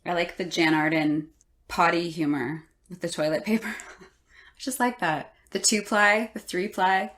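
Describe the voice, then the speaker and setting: A woman's voice: on the low side, a little slow, a bit bright. A woman hosting a casual interview and responding conversationally to her guests. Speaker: podcast host.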